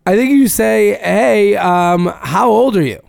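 Male podcast co-host, raspy and lively, swears casually, dry studio sound. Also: high voice, measured pace, no echo. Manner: animated, swears casually, reads listener emails aloud. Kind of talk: casual chat.

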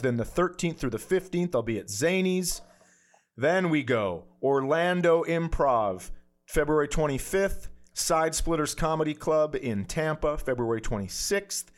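A middle-aged American man co-hosting a comedy podcast in casual, joking banter with profane, wry humor. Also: fairly high pitch.

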